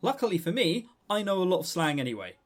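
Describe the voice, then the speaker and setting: A man's voice: high-pitched, sing-song, quick pace. Rambling Englishman on a podcast. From England. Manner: rambling, stammering with repeated words. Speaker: podcast co-host. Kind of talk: casual discussion and review.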